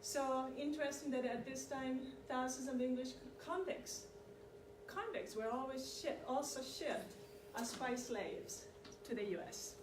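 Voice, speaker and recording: A woman's voice: sits high, a little slow. Woman lecturing in an echoey room. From Chile. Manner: conversational, often checks in with the audience. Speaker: community presenter on immigration history. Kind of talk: informal lecture to an audience. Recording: echoey room, distant mic, background noise.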